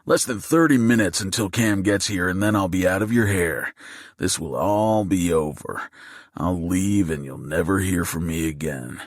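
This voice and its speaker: A man voicing a menacing, desperate gunman in an audiobook, gruff and angry. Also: normal speed, no room sound, clean studio sound. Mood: exasperated. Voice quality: low, gruff. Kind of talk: audiobook character acting.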